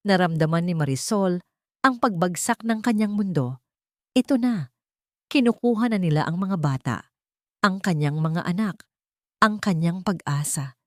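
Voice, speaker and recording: A woman's voice: on the low side, lively intonation, unhurried, long pauses, a little mellow, dry recording, clean studio sound. A woman narrating an audiobook with dramatic, scripted delivery.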